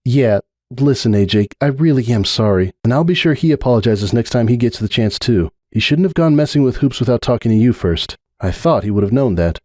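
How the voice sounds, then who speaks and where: A man voicing a troubled young female pony who speaks earnestly and hesitantly, as if softening bad news, in a dramatic story reading. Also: medium pitch, lively intonation, fairly quick, no room sound, clean studio sound, voice pushed up, natural voice. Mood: apologetic, exasperated.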